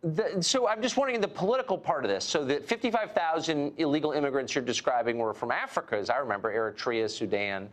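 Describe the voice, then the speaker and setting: A sardonic man in his forties hosts a news program, his pitch swinging widely as he mocks his targets. He speaks from a studio on a lapel mic with a clean, close sound. He also questions a guest in the same sharp tone. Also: lively intonation, quick pace, few pauses. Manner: mocking, sardonic delivery. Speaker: news host. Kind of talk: sarcastic scripted monologue.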